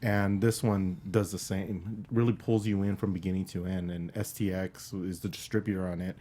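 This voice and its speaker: A man with a fairly low voice reviews films as a panelist. His delivery is casual and plain-spoken. He feels his way haltingly through his opinions. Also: flat delivery, mellow. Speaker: film critic panelist. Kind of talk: casual film review chat.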